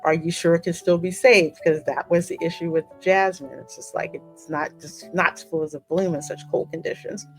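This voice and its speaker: A woman's voice: lowish voice, steady tone, moderate pace, few pauses, soft background music, rough recording. A woman doing game playthrough commentary, switching between reading story text aloud and playful, colloquial chat. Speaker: gaming video co-host reading game dialogue aloud.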